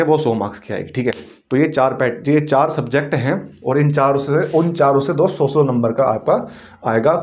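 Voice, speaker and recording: A man's voice: on the high side, darkish tone. A man teaching informally as an exam coach, explaining things step by step and often checking in with the listener. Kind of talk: informal exam-preparation lecture.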